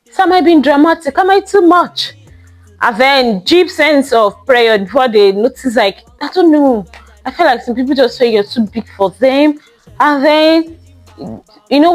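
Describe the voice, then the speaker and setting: Loud, emphatic woman host. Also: high-pitched. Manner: emphatic, conversational, drawn-out stressed words. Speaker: podcast host. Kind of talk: casual monologue podcast.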